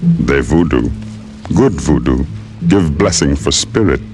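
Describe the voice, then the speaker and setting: A deep-voiced giant servant. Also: male voice, big pitch swings, exaggerated voice. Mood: wary, solemn. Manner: broken clipped English, slow and plain. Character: towering gentle giant male servant, loyal. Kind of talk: 1940s radio acting. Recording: old radio drama with music bed.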